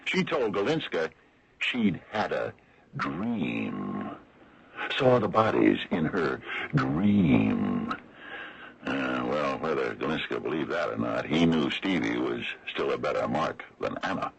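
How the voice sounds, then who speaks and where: A wry small-town lawman in an old radio mystery broadcast. His voice is extremely deep, gravelly and rough, swinging expressively as he draws out each dry, sardonic point with deliberate, folksy hesitation. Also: sing-song, slight background noise, full character voice, poor audio. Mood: somber, sardonic. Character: wry, slow-talking older small-town lawman exposing a cover-up. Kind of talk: 1970s radio mystery acting.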